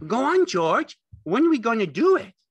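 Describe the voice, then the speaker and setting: A high, childlike, eager simpleton. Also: male voice, normal speed, breathy edge, no room sound, lo-fi sound, heavily acted. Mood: pleading. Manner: pleading, excitable, simple drawl. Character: childlike, eager, simple-minded big man. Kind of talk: audiobook character acting.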